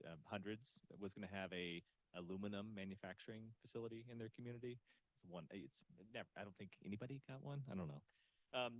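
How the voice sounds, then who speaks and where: A man moderating a panel, easygoing and relaxed as he passes questions along. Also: on the low side, no room sound, poor audio.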